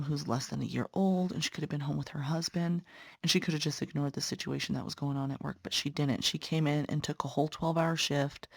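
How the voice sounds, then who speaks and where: A woman's voice: low-pitched, creaky, no room sound, studio quality. A young woman, a patient care tech and nursing student, chatting earnestly to viewers while stitching, sounding congested. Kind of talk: casual chat while stitching.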